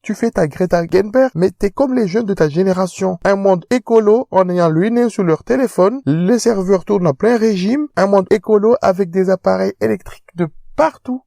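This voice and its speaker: A man's voice: sits high, moderate pace, vocal fry, few pauses, mellow, dry recording, clean studio sound. A man voicing an indignant, snobbish bourgeois mother in a fiction podcast, scolding dramatically. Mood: angry, scolding.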